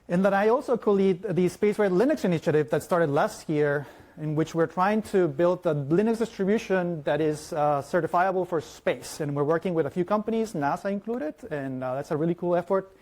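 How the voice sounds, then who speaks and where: Fast-talking man with a Mexican accent. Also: high-pitched, darkish tone. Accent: Mexican Spanish. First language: Spanish. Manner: fast-talking, engages the audience with questions. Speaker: conference speaker. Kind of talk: lively tech conference talk. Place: large lecture hall.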